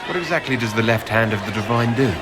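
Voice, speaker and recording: An actor playing a direct, questioning male hero in a fantasy video game, with a brisk manner. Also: medium pitch, animated, noisy background, lightly acted. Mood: inquisitive. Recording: crowd and outdoor ambience behind.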